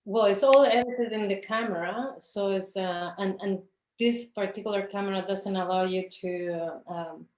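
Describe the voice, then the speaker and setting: A woman's voice: medium pitch, moderate pace, darkish tone, roomy sound, poor audio. A woman from Chile, an artist speaking in an interview who searches for her words with many fillers and restarts. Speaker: filmmaker, interview guest. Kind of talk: reflective conversation about her films.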